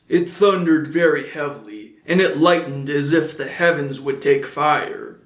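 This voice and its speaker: A man reads a classic storybook aloud at a measured, steady pace. His voice sits a little high for a man, and the room gives it a clear echo. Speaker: audiobook reader. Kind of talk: storybook narration. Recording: echoey room.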